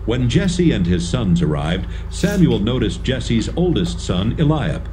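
A man's voice: medium pitch, sing-song, a little slow, dark tone, slight background noise. A man narrating a dramatised audio Bible from a script.

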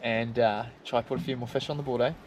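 A man's voice: medium pitch, steady tone, fast. A man in his thirties with a New Zealand accent, chatting casually to an action camera on a small boat at sea. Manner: laid-back, chatty, says "eh" at sentence ends. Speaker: fishing vlogger. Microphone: action camera close up. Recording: outdoor boat noise and water.

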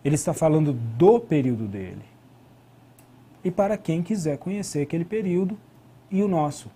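Brazilian male lecturer, middle-aged. Mellow, slightly high voice, explanatory academic delivery with rhetorical questions. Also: expressive, moderate pace, breathy edge. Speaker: history lecturer and researcher. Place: indoor studio at a desk.

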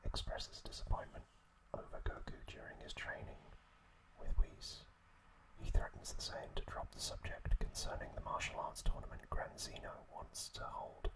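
A man whispering breathily in a halting, gentle ASMR storytelling recap, close to the microphone. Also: poor audio. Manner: slow, halting phrases with pauses. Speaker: ASMR host.

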